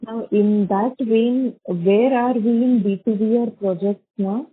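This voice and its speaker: A woman's voice: fairly high pitch, a little slow, husky, no room sound. A woman with an Indian accent asking questions as an analyst on an earnings call. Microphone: conference call phone line. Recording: narrowband phone line.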